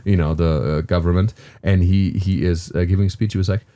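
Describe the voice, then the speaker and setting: A man in his thirties with a German accent tells rambling stories on a podcast in a dark, mellow voice that sits fairly low. He drifts along loosely, filling his pauses with "uh" and "um" and restarting his sentences as he goes. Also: fairly even intonation. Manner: rambling storyteller with fillers and restarts. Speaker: guest comedian. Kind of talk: casual podcast chat.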